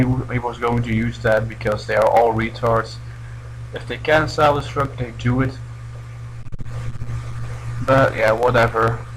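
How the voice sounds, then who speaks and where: A man's voice: medium pitch, a little hiss or hum, low-quality recording. A young man from Belgium, not a native English speaker, giving casual, hesitant let's play commentary. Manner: hesitant, restarts often. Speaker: gameplay commentator.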